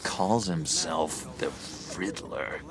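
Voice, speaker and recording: A male actor playing an unhinged, needy man with a volatile temper in a video game, speaking in a halting, theatrical way. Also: low-pitched, fairly bright tone, a little hiss or hum, full character voice. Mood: sheepish. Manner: halting, stammering, theatrically dramatic.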